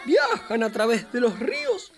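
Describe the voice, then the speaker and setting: A woman's voice: fairly high pitch, a little slow. A woman playing a friendly, wise capybara who patiently explains things to a child in children's radio theatre, with an Argentine accent. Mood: enthusiastic. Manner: patient, expressive explaining, storyteller-like. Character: friendly wise capybara guiding a little girl.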